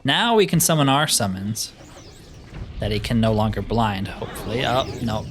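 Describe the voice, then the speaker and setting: A man's voice: lowish voice, lively intonation, moderate pace, music in the background. A man giving casual let's play commentary, thinking aloud as he plays and grumbling wryly. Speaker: gaming video host.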